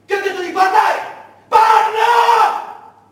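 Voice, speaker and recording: A man's voice: extremely high pitch, fairly even intonation, slow pace, a faint crowd, voice pushed up, exaggerated voice. A man playing a menacing wartime interrogator who cajoles and threatens in a theatrical monologue. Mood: enraged shouting. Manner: cajoling, threatening, building to shouting. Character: menacing interrogator, falsely friendly then enraged, wartime soldier. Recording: very echoey stage, distant mic, faint noise.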